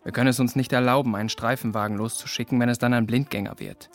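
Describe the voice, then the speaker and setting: A man's voice: medium pitch, steady tone, fast, faint music behind, lightly acted. An actor playing an overworked, doubtful but friendly policeman in a German children's audio drama, hesitant and apologetic.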